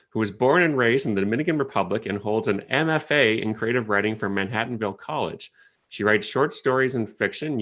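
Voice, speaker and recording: Relaxed man moderating a panel. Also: lowish voice. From Ottawa, Canada. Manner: relaxed, chatty, with hesitant fillers and small laughs. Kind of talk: casual moderating of an online panel. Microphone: video call.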